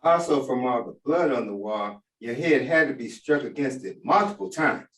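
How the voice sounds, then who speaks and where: A man's voice: medium pitch, expressive, normal speed, fairly bright tone. A man playing a blunt, skeptical police detective with emphatic delivery in a screenplay read-through. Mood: matter-of-fact. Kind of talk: screenplay table read acting. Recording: roomy, echoey, far from mic.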